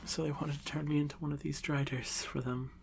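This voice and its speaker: A man voicing a shy, haunted drider monster boy in an ASMR fantasy roleplay, stammering softly and often slipping into breathy whispers. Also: a little high, little pitch movement, a little fast, slight background noise. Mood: shaken, apologetic. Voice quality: soft, breathy, creaky.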